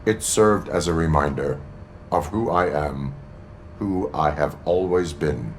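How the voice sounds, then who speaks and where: Cyborg police officer, video game, very deep voice, creaky, stoic, terse delivery. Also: male voice, a little slow, slight background noise. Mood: stoic, measured. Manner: terse, deadpan. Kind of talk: video game cutscene acting.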